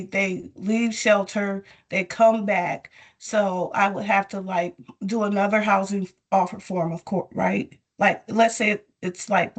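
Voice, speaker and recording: A woman trainee with a cold, raspy and hesitant, asking a question on a training call. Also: mid-range voice. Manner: hesitant, many fillers.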